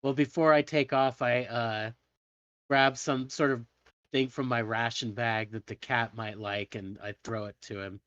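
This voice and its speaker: A man's voice: medium pitch, steady tone, a little slow, fairly bright tone, no room sound, highs cut off. A man talking casually as a player in a tabletop game, easygoing and a bit hesitant.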